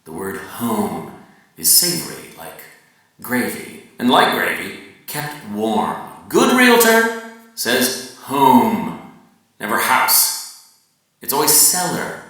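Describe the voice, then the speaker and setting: A man giving a dramatic literary reading as a pompous, preachy coach of realtors, lecturing emphatically with deliberate pauses. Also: medium pitch, big pitch swings, pushed and bright, heavily acted. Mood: preachy, savoring. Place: large echoey venue, live reading. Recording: very echoey room, far from mic.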